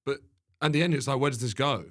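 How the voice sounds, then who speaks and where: A man in casual conversation as a podcast guest and comedian, wry and bantering, quick to laugh. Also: medium pitch, fairly bright tone.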